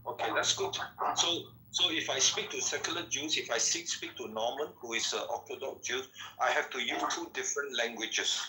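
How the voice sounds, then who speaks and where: A man in an online debate speaks in broken, halting phrases and keeps asking for patience. His voice is very bright and sits at an average pitch. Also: a little hiss or hum, low-quality recording.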